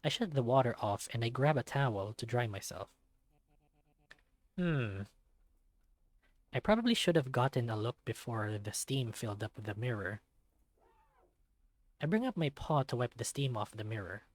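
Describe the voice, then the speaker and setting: A man's voice: mid-range voice, lively intonation, moderate pace, long pauses, lightly acted. A man voicing a self-deprecating young male otter, narrating a visual novel in the first person with wry self-mockery. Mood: wry, subdued.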